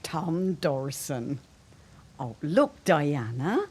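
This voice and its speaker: A woman's voice: low voice, big pitch swings, extremely slow, darkish tone, a little hiss or hum, heavily acted. Woman playing a theatrical, swooping, meddlesome mother. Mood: sweetly scheming. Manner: theatrical, arch. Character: meddling matchmaking mother, archly genteel, feigning innocence. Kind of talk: period audio drama acting.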